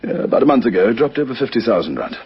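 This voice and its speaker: An actor playing a frank witness in a radio crime drama, speaking with deliberate theatrical phrasing. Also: male voice, mid-range voice, big pitch swings, very noisy. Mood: musing, emphatic. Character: frank, animated male witness musing on a murder victim. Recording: dull vintage radio recording.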